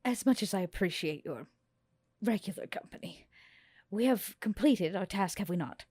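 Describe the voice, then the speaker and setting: A woman voicing an arch, formal, sardonic female vampire with a husky voice in a tabletop role-playing game. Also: mid-range voice, lively intonation, normal speed, warm tone, no room sound, studio quality. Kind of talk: tabletop role-play acting.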